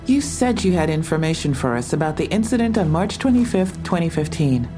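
A woman's voice: deep voice, very animated, fairly quick. An actress in an audio drama playing a probing female police detective with pointed questions. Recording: music bed behind the voice.

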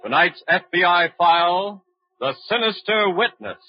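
A man announcing on 1940s radio, formal and declamatory, delivering program titles and sponsor pitches on a vintage broadcast recording. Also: normal speed, muffled recording. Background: prominent music.

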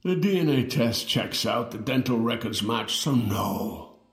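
An actor playing an aged, weary twin brother in an audio drama, speaking in a halting, wry, reflective way. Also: male voice, medium pitch, animated, creaky, echoey room. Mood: measured, wry. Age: older. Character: aged, weary twin brother reunited with his lost sibling.

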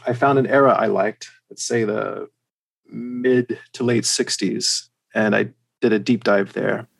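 A man with a general American accent, chatting casually as a podcast guest in a hesitant, halting way. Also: on the low side, fairly even intonation, creaky. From United States and Germany. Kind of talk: casual chat.

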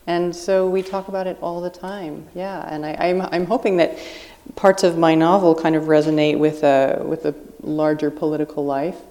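Reflective woman author, low and mellow. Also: little pitch movement, moderate pace. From South Dakota, USA. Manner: earnest, reflective, thinking aloud. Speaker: guest author. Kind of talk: interview on stage. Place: stage at a live book festival event.

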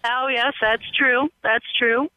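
High-voiced male caller on phone. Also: fairly even intonation, takes it slowly, no room sound. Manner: casual, plain-spoken. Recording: tinny phone line.

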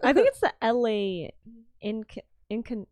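A woman's voice: on the high side, sing-song, unhurried, crowd noise. A woman co-hosting a podcast, chatting in an affirming, friendly way. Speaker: podcast co-host. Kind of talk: casual podcast chat.

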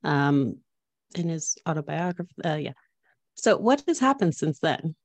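A woman's voice: deep voice, big pitch swings, unhurried, darkish tone, no room sound. A woman moderating a talk, politely reading audience questions to the speaker.

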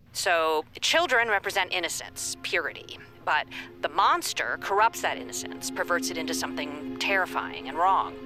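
Articulate scholarly lady lecturer, probing and theatrical, lively pitch, music behind her. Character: composed scholarly woman leading an online folklore seminar. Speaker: seminar lecturer. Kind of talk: audio drama acting as lecturer.

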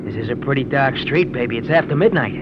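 An actor playing a slangy, smooth-talking crook and gunman in a 1940s radio drama. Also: mid-range voice, animated, quick pace, close to an everyday voice. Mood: threatening. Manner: slangy tough-guy talk. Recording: muffled, noisy old radio recording.

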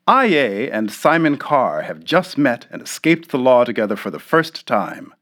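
Showy episode narrator, mid voice swinging up and down a lot. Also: male voice, medium pitch, very animated, normal speed, fairly bright tone. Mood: theatrical, welcoming. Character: showy serial audio drama announcer. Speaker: narrator-announcer.

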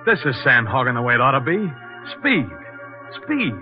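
Man playing a brash, excitable young laborer, very animated. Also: mid-range voice, normal speed, lightly acted. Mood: brash, excited. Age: young adult. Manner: excitable, driving, scornful of caution. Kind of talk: 1940s radio drama acting. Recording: dull old radio recording with music. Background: loud music.

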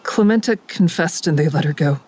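A woman's voice: low voice, fairly quick, darkish tone, slightly rough. An actress playing an anxious, conflicted woman in an audio drama, speaking plainly and with some hesitation. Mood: uneasy.

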